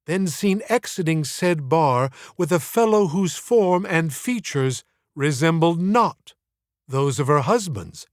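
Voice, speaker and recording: A man reads a comic audiobook in a fairly high-set voice. His delivery is theatrical and wry, with mock-formal phrasing. The sound is very dry, free of room reflections. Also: dry recording. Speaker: audiobook narrator and author. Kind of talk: comic scripted storytelling narration.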